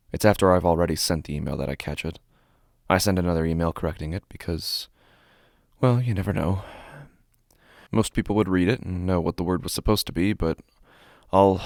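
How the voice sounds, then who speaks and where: Casting: man to play a fussy, nervous male office clerk telling his horror tale; deep, fry-edged voice, halting delivery. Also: a little mellow, dry recording, studio quality. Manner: halting, deliberate, stammers when agitated. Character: anxious, meticulous male office worker unsettled by his typos. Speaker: narrator of a horror story. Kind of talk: first-person dramatic horror narration.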